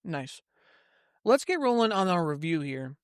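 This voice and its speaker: A man with a somewhat high voice chats casually, telling stories in a loose, rambling way. He co-hosts a podcast. The sound is close and dry, with no room echo. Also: big pitch swings, moderate pace, smooth. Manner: rambling anecdotes with fillers. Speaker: podcast co-host. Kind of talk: casual chat, storytelling.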